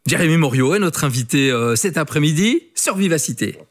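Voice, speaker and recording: A man's voice: fairly high pitch, expressive, a little fast. A man hosting a casual radio interview.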